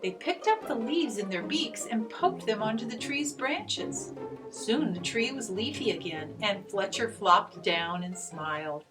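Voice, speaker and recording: Woman reading a kids story, gentle n expressive, takes it slow, guitar music playing. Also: mid-range voice, rough recording. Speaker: storyteller reading aloud to children. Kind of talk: expressive scripted story narration.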